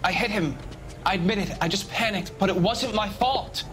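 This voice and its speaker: Male actor as self-excusing suspect, high voice, panicky, defensive, pleading, video game drama, music behind. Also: moderate pace, lightly acted. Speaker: hit-and-run suspect.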